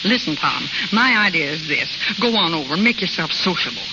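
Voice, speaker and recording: An actress playing a brassy, impatient American gang woman with a sharp tongue, in old radio drama style. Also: sits low, expressive, a little fast. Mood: impatient, sarcastic. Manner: sharp-tongued, slangy, griping. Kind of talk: 1940s radio drama acting. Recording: muffled, noisy old radio broadcast.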